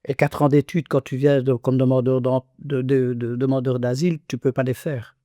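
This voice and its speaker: A man's voice: mid-range voice, little pitch movement, moderate pace, dark tone, dry recording, studio quality. A man in his sixties, born in Congo, giving reflective conversational testimony in a podcast interview, speaking earnestly with many restarts and fillers. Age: 60s.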